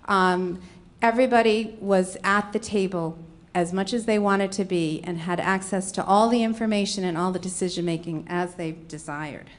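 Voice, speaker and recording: A middle-aged woman with a Rhode Island accent speaks as a conference panelist. She explains her slides one at a time in an even, mid-range voice. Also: mid-range voice. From Rhode Island, USA. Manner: explanatory, walking through slides. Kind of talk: conference presentation.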